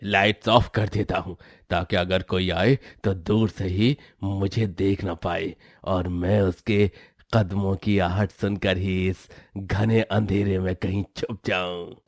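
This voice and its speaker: A man's voice: sits low, little pitch movement, slow, dry recording, clean studio sound. A man voicing a menacing, vengeful village man scheming in a dramatized moral story.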